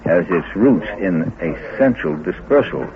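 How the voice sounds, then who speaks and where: A man speaking as a scientist being interviewed, phrasing his answers haltingly and deliberately in short bursts. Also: deep voice, expressive, background noise. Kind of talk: unscripted expert interview. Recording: phone-like old recording, chatter behind.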